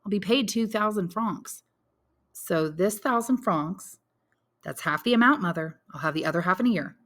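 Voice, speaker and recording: A woman's voice: medium pitch, animated, normal speed, a bit bright. A woman voicing an earnest, resolute young man who firmly reassures his mother in an audiobook. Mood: earnest, bright. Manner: firm, reassuring, insistent. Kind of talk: audiobook character dialogue.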